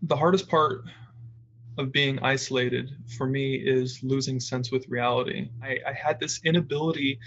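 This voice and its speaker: A man in his late twenties speaking in a halting, searching way through a headset on a video call. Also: medium pitch, fairly even intonation, fairly bright tone, dull audio, a little hiss or hum. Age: 20s. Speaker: formerly incarcerated interviewee.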